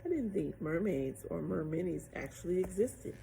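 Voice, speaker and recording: A woman narrating a children's picture book aloud in an expressive storytelling style. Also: fairly low pitch, moderate pace, vocal fry, rough recording. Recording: noticeable background noise.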